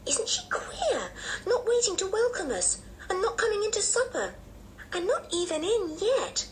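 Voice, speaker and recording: Woman as a young storybook girl, high voice, lively swoops, curious, excitable, polite. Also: moderate pace, a little hiss or hum, full character voice, low-quality recording. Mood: puzzled. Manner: eager, exclaiming, polite.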